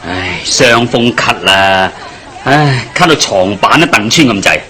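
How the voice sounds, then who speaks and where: An actor playing a grumbling, henpecked village husband with a Hong Kong Cantonese accent, sighing and complaining in a radio drama. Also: male voice, lowish voice, animated, a little slow, bright, forceful tone.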